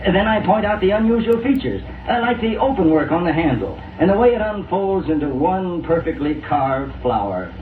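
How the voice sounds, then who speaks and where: An eager young salesman from old radio comedy, naive and full of himself. He gushes in a folksy way, with very lively, high-set intonation. The sound is muffled and roomy. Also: moderate pace, hardly any pauses, distant mic, slight background noise. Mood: boastful. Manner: gushing, boastful, folksy. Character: eager, boastful young silverware salesman, naive ladies' man.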